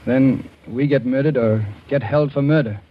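A man's voice: mid-range voice, moderate pace, loud music behind the voice, natural voice. An actor playing a brusque, commanding man framed for murder in a 1940s radio thriller, on a muffled, noisy old recording. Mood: urgent. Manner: terse, commanding. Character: brusque, hard-boiled male inventor framed for murder.

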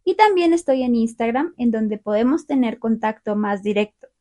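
Young woman hosting a spanish learner podcast, mellow warm voice, slightly high, calm and clear. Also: normal speed. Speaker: podcast host. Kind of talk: scripted podcast monologue for learners.

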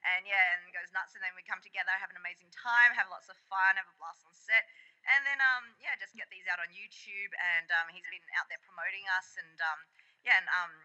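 A woman's voice: mid-range voice, brisk, bright, forceful tone, highs cut off. An Australian woman from Melbourne, a podcast guest and actress, talking casually with lots of ums. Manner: rambling, many ums. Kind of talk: casual interview chat.

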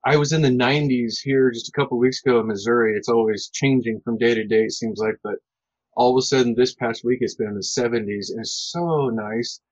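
A man's voice: mid-range voice, fairly even intonation, fairly quick, lo-fi sound. A man hosting a podcast in a chatty, enthusiastic way. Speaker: podcast host.

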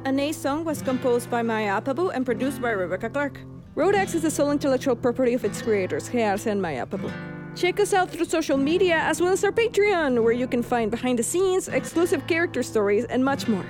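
A woman's voice: high-pitched, animated, a little fast, music in the background. A woman announcer reading scripted closing credits for a podcast.

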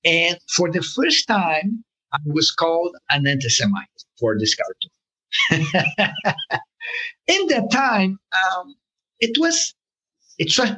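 A man's voice: a little high, bright, forceful tone, breathy edge, low-quality recording. A man being interviewed about his work as a cartoonist, speaking as a with many fillers and restarts. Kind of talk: conversational interview answer.

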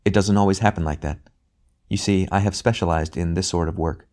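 A man voicing a gentle, patient spirit. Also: low voice, natural voice. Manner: soothing, calm, measured. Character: gentle, patient bright spirit, a grown brother.

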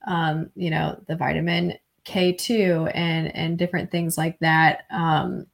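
A woman hosting a podcast interview, speaking in an encouraging, steady way. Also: deep voice, measured pace, vocal fry. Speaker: podcast host.

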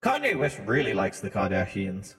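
A man's voice: on the low side, wildly swooping pitch, moderate pace, a bit bright. A man co-hosting a podcast with casual, crude joking banter in a reverberant room. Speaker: podcast co-host.

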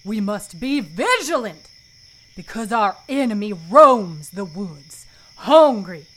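An ominous audio fiction storyteller, acted out by a woman, warns of evil. The delivery is slow, grave and emphatic. Every phrase is pressed home with dramatic weight. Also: medium pitch, sing-song. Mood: ominous warning.

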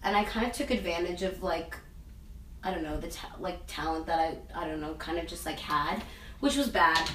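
A young woman with a lowish voice answers interview questions in loose, rambling chat, leaning on "like" and "um" as she goes. She sits back from the mic in an echoey room, with a faint hum of ambience behind her. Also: normal speed, a bit bright, far from the mic. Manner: rambling, heavy use of "like" and "um". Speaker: interviewee, singer and actress.

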